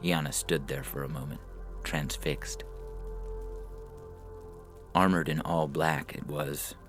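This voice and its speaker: Narrator for dramatic fiction: very deep male voice, deliberate and measured, over prominent music. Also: fairly even intonation, a bit bright. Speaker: story narrator.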